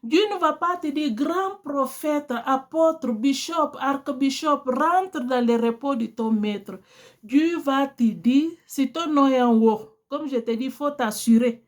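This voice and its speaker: Slow high-voiced woman preaching. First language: Portuguese. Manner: earnest, exhorting, direct address. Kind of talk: sermon.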